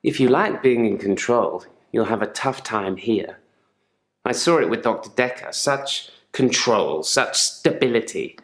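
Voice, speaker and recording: Casting: male actor as controlled, unsettling therapy patient for a live-action game. Deliberate, polite delivery with quiet menace, mid-pitched, echoey room. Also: lively intonation, lightly acted. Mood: controlled, probing.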